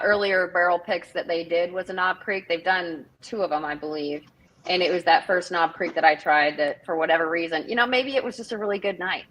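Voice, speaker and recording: A woman's voice: on the low side, fairly bright tone, poor audio. A middle-aged woman from northern Minnesota, a festival director chatting as a guest over a video call, rambling with many fillers. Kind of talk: casual interview chat.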